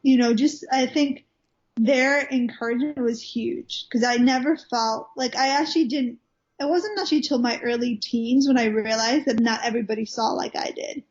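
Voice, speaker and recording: A woman's voice: on the high side, normal speed, darkish tone. A young woman from Wales with an American-sounding accent, telling her story as a guest in a casual interview, full of fillers and restarts. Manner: chatty, many fillers and restarts. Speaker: guest minister. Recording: muffled lo-fi recording.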